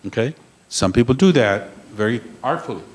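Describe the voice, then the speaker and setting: A man's voice: medium pitch, lively intonation, moderate pace. Male panel speaker, emphatic lecturing delivery, mid-range voice. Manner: emphatic, repeats key phrases, checks in with "okay?". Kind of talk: lecture on intellectual property. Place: conference room.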